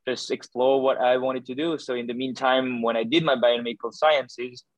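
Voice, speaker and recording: A man's voice: medium pitch, level tone, moderate pace, no room sound, low-quality recording. A man, a doctoral student answering interview questions in a hesitant way, full of fillers and restarts. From France. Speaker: podcast guest, doctoral student.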